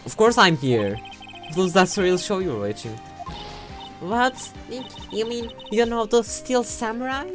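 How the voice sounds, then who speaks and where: A young woman voicing an excitable teenage girl sidekick in a fan game voice-over, talking in a gushing, high-spirited way over game music. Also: unhurried, lightly acted. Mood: excited.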